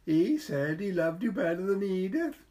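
High-voiced, cheeky little boy. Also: very animated, moderate pace, mellow. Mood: defiant, sing-song.

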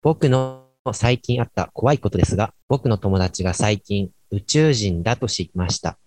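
A man's voice: fairly low pitch, animated, normal speed, darkish tone, lightly acted. A man playing a laid-back late-night radio host and dry straight man in an amateur radio drama. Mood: relaxed, wry.

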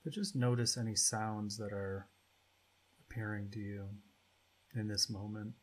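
A man leading a guided meditation as its guide, speaking in short, spacious phrases with long pauses between them. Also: on the low side, fairly even intonation.

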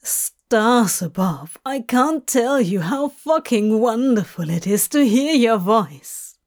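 A woman's voice: fairly high pitch, lively intonation, slow, darkish tone. Woman voicing a swearing, anxious demon man. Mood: emotional.

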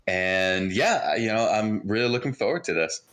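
A man's voice: lowish voice, lively intonation, normal speed, a bit bright. A man with an American accent, raised in New Jersey, speaking casually and candidly as a podcast guest telling personal stories. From born in Israel, raised in New Jersey. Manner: informal, candid. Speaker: podcast guest, mindset coach. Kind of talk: casual conversational personal storytelling.

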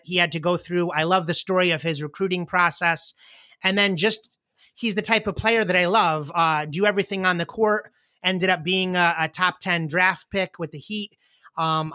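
Host, late 40s, bright crisp voice, dry close mic, runs a relaxed panel. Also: medium pitch, no echo. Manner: relaxed, leads the questions. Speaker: podcast host. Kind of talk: casual panel chat.

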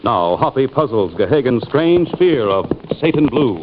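A man's voice: medium pitch, sing-song, normal speed, very noisy. A man narrating an old radio western as its announcer, in scripted story style. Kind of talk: 1940s-50s radio drama narration. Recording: muffled old radio recording, music underneath. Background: music.

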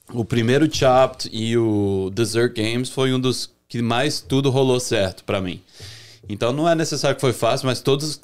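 Smooth-voiced Brazilian man, relaxed chat. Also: medium pitch. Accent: Brazilian Portuguese. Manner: relaxed, talks with many restarts and self-corrections. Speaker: chef, podcast guest. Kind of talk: casual interview chat.

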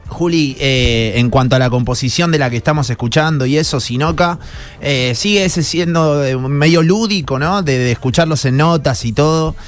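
Man, slightly high voice, chatty radio host, colloquial, faint music behind. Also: moderate pace. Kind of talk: casual radio interview.

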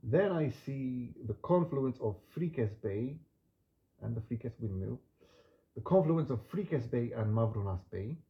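A man hosting a relaxed podcast, talking in a gentle, unhurried and reflective way, with soft trailing endings and some Greek words read with a learner's accent. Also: medium pitch, vocal fry, narrow, tinny audio. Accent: non-native Greek pronunciation when reading. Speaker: podcast host. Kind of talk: relaxed spoken monologue with poetry reading.

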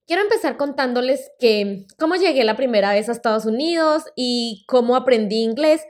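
A woman's voice: a little high, expressive, moderate pace. A woman in her thirties with a Colombian accent, chatting casually as she tells her own story on her solo podcast. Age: 30s. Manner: chatty and personal, uses fillers like "eh" and "pues". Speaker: podcast host.

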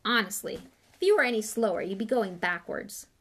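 Woman voicing a sneering, spoiled schoolboy with creaky edge. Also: medium pitch, expressive, normal speed, fairly bright tone. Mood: disbelieving, scornful. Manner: scornful, impatient, boastful. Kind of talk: audiobook character voice.

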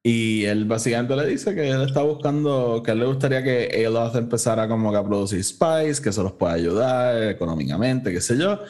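A man's voice: medium pitch, fairly quick, hardly any pauses, a little mellow. A man with a Puerto Rican accent co-hosting a podcast in casual, informal talk, swearing and slipping between Spanish and English. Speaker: podcast co-host. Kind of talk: casual conversational review.

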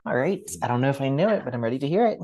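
A woman's voice: very deep voice, expressive, rapid-fire, warm tone, no echo. A woman from North Carolina co-hosting a podcast, chatting casually and laughing along. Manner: laughs often, supportive listener. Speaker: podcast co-host. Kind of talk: casual chat.

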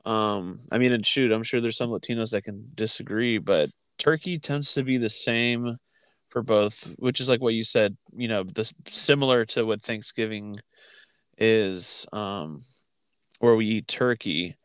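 A man chatting casually as a podcast co-host, haltingly thinking aloud as he goes. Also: fairly low pitch, a bit bright, smooth, no room sound. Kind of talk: casual chat.

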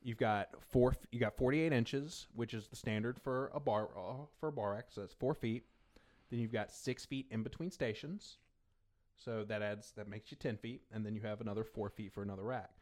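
A man's voice: lowish voice, normal speed, a little mellow. A man from Louisiana with a Southern American accent, chatting casually as a podcast host. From Louisiana, United States. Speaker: podcast host, gym owner. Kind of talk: casual chat.

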